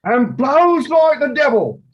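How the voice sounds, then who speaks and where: A man doing a loud, gleeful highway robber. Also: wildly swooping pitch, slow, muffled recording, heavily acted. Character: rough highway robber, male, bold.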